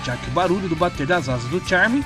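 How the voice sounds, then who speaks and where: A man's voice: on the high side, animated, fast talker. A man with a Brazilian accent reading a scripted retelling of a comic story as narrator. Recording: music bed behind the voice. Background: clear background music.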